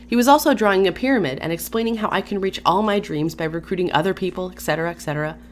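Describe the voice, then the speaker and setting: A woman's voice: lowish voice, rapid-fire, slight background noise. A woman hosting her own channel, reading a story aloud and breaking off for casual, chatty asides with sighs and small laughs. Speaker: YouTube channel host.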